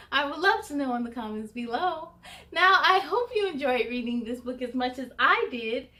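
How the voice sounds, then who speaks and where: A woman hosting a children's story time, reading expressively with animated asides to young listeners. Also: high-pitched, normal speed. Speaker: host of children's read-aloud story time.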